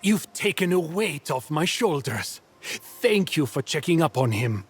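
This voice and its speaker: An actor playing an earnest commander giving a grave briefing in a game. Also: male voice, on the high side, very animated, measured pace, soft background music. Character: male commander, urgent and grave. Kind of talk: video game cutscene acting.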